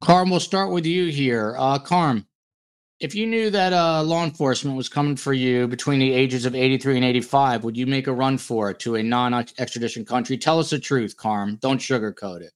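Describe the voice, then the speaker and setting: A man's voice: medium pitch, moderate pace, clear, resonant tone. A man hosting a casual true-crime panel podcast, talking in a blunt, teasing, jokey way. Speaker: podcast host.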